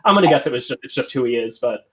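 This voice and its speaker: A man hosts and commentates a live poker stream, chatty and musing, often restarting his sentences. His pitch sits at an ordinary middle level for a man. The stream audio sounds a little dull and muffled. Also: lively intonation, rapid-fire.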